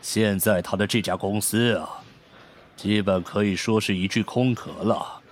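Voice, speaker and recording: Low-voiced, composed police captain. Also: male voice, fairly even intonation, measured pace. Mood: composed, businesslike. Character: male police captain, composed, shrewd senior detective. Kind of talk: radio drama acting.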